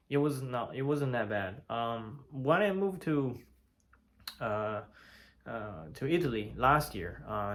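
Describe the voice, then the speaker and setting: Gravelly-voiced Chinese man, halting vlog talk. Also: medium pitch. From China. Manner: hesitant, many fillers and restarts. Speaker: vlogger. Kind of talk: casual talk to camera. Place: lives in Italy.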